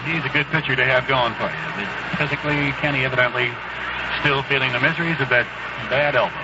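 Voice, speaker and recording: A man's voice: mid-range voice, fairly even intonation, quick pace. A man calling a baseball game play by play as a live radio announcer. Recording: noisy, muffled 1970s AM radio broadcast.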